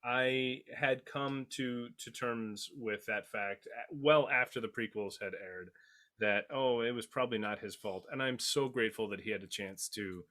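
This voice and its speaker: A man's voice: medium pitch, normal speed, fairly bright tone. Guy on a podcast panel, opinionated, leans hard on his points, repeats phrases. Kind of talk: animated group discussion of a TV series.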